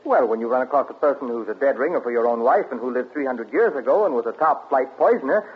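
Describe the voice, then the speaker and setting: A man's voice: mid-range voice, expressive, fast talker, breathy edge. An actor playing a young husband who probes his wife with nervous, forced casualness, in 1940s radio acting style. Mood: uneasy. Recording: muffled noisy 1940s radio broadcast.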